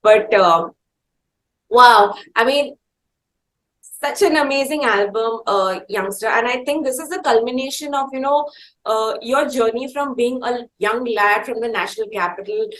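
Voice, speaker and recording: A woman's voice: on the high side, a little slow, fairly bright tone, slight background noise, rough recording. A woman with an Indian accent hosting an interview in an enthusiastic, effusive, conversational way. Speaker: interviewer and show host.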